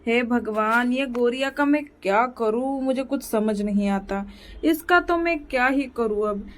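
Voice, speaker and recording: A woman's voice: fairly high pitch, measured pace, close to an everyday voice. A woman voicing a meek, henpecked male crow who pleads with his wife and frets about money. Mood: fretting. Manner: pleading, weary, worried.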